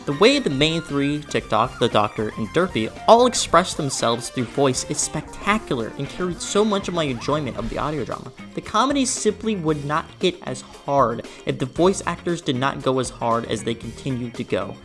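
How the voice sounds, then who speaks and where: A person delivering a scripted, opinionated video essay in an expressive, emphatic way with conversational asides. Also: mid-range voice, normal speed, hardly any pauses. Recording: music behind the voice. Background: background music.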